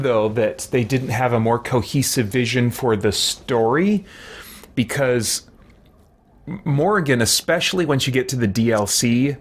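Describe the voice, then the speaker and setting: A man in his forties chats through a video game as a streamer. He muses and wanders off on tangents, breaking into his own sentences. His pitch is average for a man. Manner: rambling, musing, self-interrupting. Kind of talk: casual gameplay commentary. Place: small indoor room with shelves.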